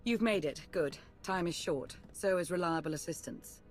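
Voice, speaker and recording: A woman playing a cool, calculating intelligence chief in a video game cutscene, measured, clipped and dry. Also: lowish voice, soft background music, lightly acted. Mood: dry, businesslike.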